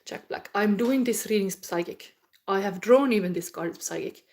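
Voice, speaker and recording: A woman's voice: medium pitch, normal speed, a little mellow. A woman from Finland with a Finnish accent, speaking non-native English in a rambling, word-searching way as she gives a casual psychic tarot reading to viewers.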